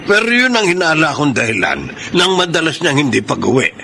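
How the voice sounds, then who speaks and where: Casting: aggrieved older father-in-law, radio drama, gravelly, highly animated, noisy track. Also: fairly quick, exaggerated voice. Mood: concerned, indignant. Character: concerned, indignant older father-in-law and grandfather. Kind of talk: Filipino radio drama acting.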